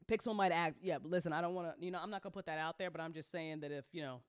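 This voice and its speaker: A woman's voice: deep voice, rapid-fire, dry recording. Effusive woman, dry close sound. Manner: effusive, swears freely, repeats phrases for emphasis. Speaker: host, audio drama creator. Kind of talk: casual, chatty recap talk to a community.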